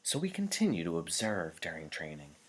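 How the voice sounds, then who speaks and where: A man's voice: low-pitched, wildly swooping pitch, husky, full character voice. A man voicing a gracious, commanding female chief elder who addresses a crowd formally in an audiobook reading. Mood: measured, explanatory. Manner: formal, measured, solemn.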